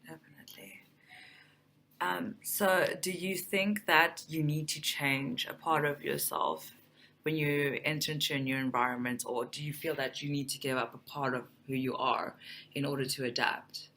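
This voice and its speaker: A woman interviewer who asks her questions politely and at a measured pace, often adding "mm" as she listens. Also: deep voice, steady tone, fairly bright tone, a little hiss or hum. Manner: polite and measured, with frequent "mm" acknowledgements.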